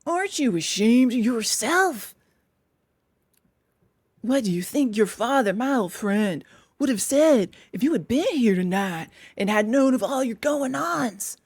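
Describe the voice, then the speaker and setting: Woman doing a stern old badger, slow and severe, super dry close sound. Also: on the high side, lively intonation, a little mellow, breathy edge, no echo, exaggerated voice. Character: stern wise old male badger, gruff authority.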